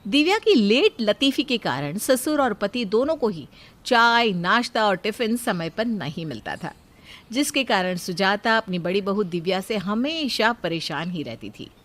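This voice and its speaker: A woman's voice: mid-range voice, lively intonation, a little slow, fairly bright tone. A woman reading scripted narration as the storyteller of a moral tale.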